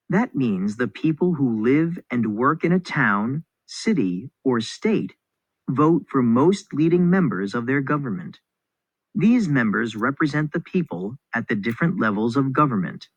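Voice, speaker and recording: A man reads scripted educational material as a narrator, in a clear instructional manner. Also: medium pitch, no echo. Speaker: narrator reading a textbook passage. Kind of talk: scripted educational read-aloud.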